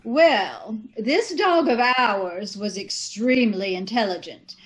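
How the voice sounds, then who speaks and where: A woman's voice: mid-range voice, lively intonation, takes it slowly, low-quality recording. A woman playing a haughty, genteel society lady in old radio comedy style, prim and condescending. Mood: smug. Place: indoors on a live Zoom call.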